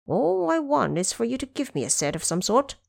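Cocky immortal monkey king played by a woman, high-pitched, swooping, brash and mischievous, for fantasy audio drama. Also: big pitch swings, brisk, vocal fry, no room sound, studio quality, exaggerated voice. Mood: breezy, smug. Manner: brash, insistent, bargaining.

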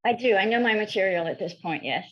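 A woman's voice: medium pitch, expressive, quick pace, highs cut off. A middle-aged woman from France, raised bilingual, telling her story as a therapist guest in a frank, matter-of-fact way. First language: French and English, bilingual. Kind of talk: storytelling interview answers.

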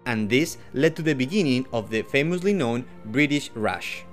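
A man's voice: medium pitch, animated, normal speed, soft background music. A man from Ecuador co-hosting a scripted history podcast, reading facts with joking asides. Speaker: podcast co-host.

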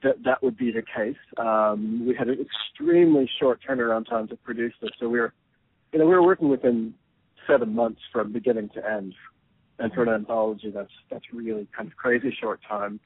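A man editing an anthology answers interview questions, thoughtful and lively, often restarting his phrases. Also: mid-range voice, fairly quick, breathy edge, no echo. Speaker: anthology editor. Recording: narrow phone-like line, dry.

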